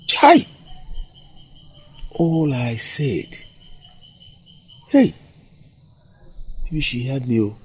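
A man's voice: mid-range voice, very slow, pauses often, a little mellow, breathy edge, heavily acted. An actor with a Nigerian accent playing an emotional man in a film drama, by turns tender and commanding. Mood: casual, uneasy.

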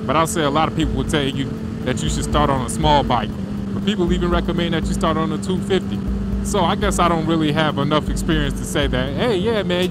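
A man talking casually to viewers while riding a motorcycle on country roads, relaxed and slangy, through a helmet mic. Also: fairly high pitch. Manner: laid-back, slangy, addresses viewers directly. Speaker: motovlogger.

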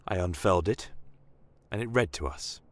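A man playing a solemn, defiant horned army commander, telling a dramatic fantasy story in a grave, measured manner. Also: low-pitched, lightly acted. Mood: grave, haunted. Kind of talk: dramatic first-person fantasy audio story.

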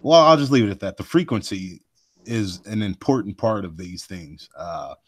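A man hosts a live show in a deep, low voice that carries without effort. He rambles in a folksy way, full of fillers and asides to his listeners. The sound is dry and close, with no room around it. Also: animated, normal speed, no echo. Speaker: host of a cryptid research live show. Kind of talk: casual live podcast chat.

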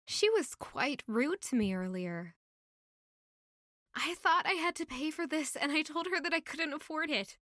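A woman's voice: high-pitched, expressive, unhurried, a bit bright, no room sound, studio quality. A woman playing an earnest, modest young working woman who can be playfully bold, in an intimate audio drama. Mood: candid, puzzled. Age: young adult.

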